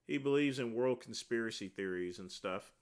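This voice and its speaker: A man hosting a casual livestream, answering viewer questions one after another in a rambling way, with a deep voice. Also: normal speed. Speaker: livestream host answering chat.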